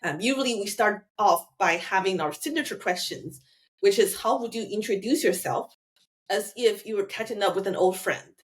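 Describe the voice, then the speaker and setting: A woman's voice: medium pitch, normal speed. A woman hosting a podcast, asking friendly, encouraging questions with some fillers. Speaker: podcast host. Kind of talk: interview questions.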